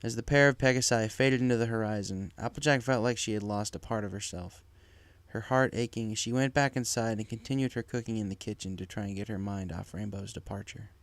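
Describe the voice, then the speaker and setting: A man's voice: lowish voice, fairly quick, no echo. A man reading scripted fan fiction as its narrator, with music behind his voice.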